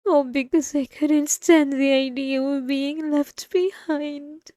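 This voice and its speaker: A woman playing an obsessive, remorseful wife in an intimate ASMR roleplay, confessing in a halting, tearful way close to the microphone. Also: sits high, fairly even intonation, mellow, no room sound. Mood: self-loathing. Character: obsessive, possessive wife, remorseful, delusional and tormented. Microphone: close microphone, dry.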